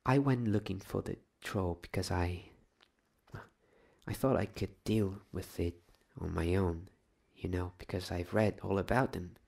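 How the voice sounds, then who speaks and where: A man's voice: deep voice, a little slow, gravelly, a little mellow, full character voice. A man voicing a meek, earnest young schoolgirl in a storybook reading. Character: small-voiced young schoolgirl, earnest and apologetic.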